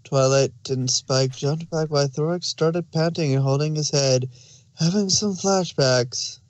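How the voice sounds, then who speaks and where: Male narrator for a read-aloud fan story: fairly high voice, very little room sound. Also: unhurried, no echo.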